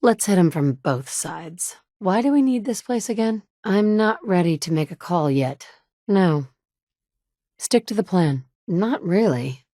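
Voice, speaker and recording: A woman's voice: lowish voice, darkish tone, dry recording. A woman playing a determined mother turned hardened wasteland survivor, speaking firm, direct lines of game dialogue. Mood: matter-of-fact. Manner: firm, direct, dry and commanding. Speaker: player character voice. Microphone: studio mic, close.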